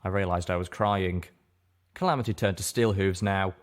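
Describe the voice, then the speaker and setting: A man narrating a dramatic first-person story in an expressive, theatrical audiobook manner. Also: deep voice, moderate pace.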